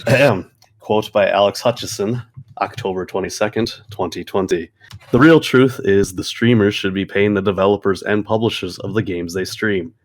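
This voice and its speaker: A man's voice: fairly low pitch, moderate pace. A man co-hosting a podcast, bantering casually and reading items aloud. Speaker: podcast co-host.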